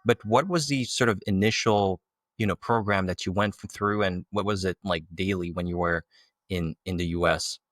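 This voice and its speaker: A man with a low voice asks long, searching questions, pausing and rephrasing as he goes. The recording is close and dry. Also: steady tone, fairly bright tone, no room sound. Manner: thoughtful, hesitant, with fillers and rephrasing. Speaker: interviewer. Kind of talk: casual interview questions.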